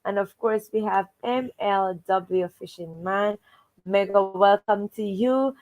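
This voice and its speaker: A woman's voice: medium pitch, takes it slowly, a little mellow, low-quality recording. A woman hosting a children's read-aloud livestream, encouraging and fond of repeating stock welcome phrases. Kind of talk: livestream hosting, greeting viewers and recapping stories.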